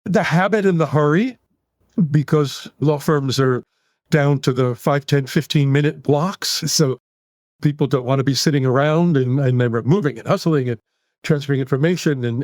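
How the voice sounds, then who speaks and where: A man in his sixties from the United States with a New York accent, answering podcast interview questions in long, animated run-on sentences. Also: mid-range voice, normal speed, no room sound, studio quality. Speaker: guest psychologist.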